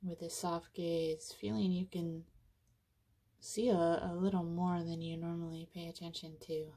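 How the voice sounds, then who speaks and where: A woman leading a guided meditation in an informal, conversational way, with soothing, casual phrasing and frequent pauses. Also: lowish voice, little pitch movement, warm tone.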